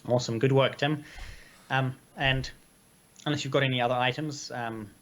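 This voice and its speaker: A New man co-hosts a political talk stream in a mid-range voice. He sounds slightly hoarse from being sick. He talks haltingly, with many pauses and hesitations. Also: mid-range voice, steady tone, fairly bright tone. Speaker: co-host of a political livestream.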